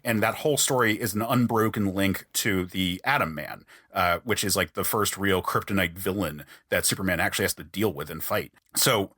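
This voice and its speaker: A man's voice: low-pitched, moderate pace, few pauses, bright, forceful tone, breathy edge, dry recording, clean studio sound. A man hosting a podcast, chatting casually in an analytical, wordy way. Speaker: podcast host.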